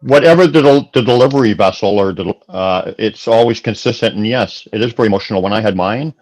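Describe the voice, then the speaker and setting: A man's voice: on the low side, fast talker, darkish tone, low-quality recording. A man chatting casually as host, affirming his guest with frequent yeahs. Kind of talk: casual chat.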